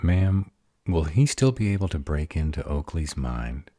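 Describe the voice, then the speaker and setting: Guy voicing a grumpy protective dragon boyfriend, really low and unhurried, fantasy roleplay audio. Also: animated, mellow, no room sound, studio quality, heavily acted. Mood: deferential.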